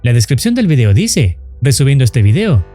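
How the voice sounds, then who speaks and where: A man's voice: mid-range voice, sing-song, moderate pace, dark tone, faint music behind. A man reading scripted narration for a horror explainer video.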